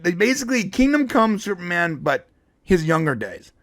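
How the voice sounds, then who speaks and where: A man's voice: high voice, sing-song, moderate pace, fairly bright tone, no room sound, studio quality. A man giving casual pop culture commentary as a show host, explaining things in an enthusiastic, conversational way. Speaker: show host and comic book fan commentator.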